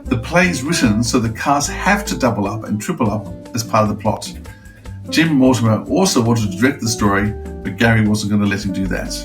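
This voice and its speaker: A man's voice: on the low side, fairly quick, music in the background. A man co-hosting a review podcast, moving between reading out notes and chatting casually. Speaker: podcast co-host.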